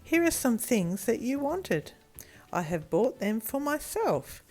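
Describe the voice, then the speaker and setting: Woman reading as a firm, measured storybook dad. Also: mid-range voice, darkish tone. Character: firm, measured father teaching a lesson. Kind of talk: children's storybook character voice.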